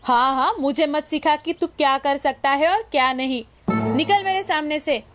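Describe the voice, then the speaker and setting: A woman's voice: high-pitched, moderate pace, music in the background, lightly acted. A woman playing a stingy, quarrelsome old village woman in a cartoon moral story, scolding and suspicious. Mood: scornful, angry. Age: older.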